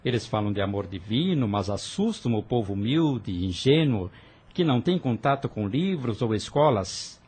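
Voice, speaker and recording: An earnest young rebel with a husky, breathy edge, arguing his ideals with fervent conviction, as a man acts him out. He speaks at an ordinary pitch and pleads persuasively in an audio drama. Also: sing-song, moderate pace, a little mellow.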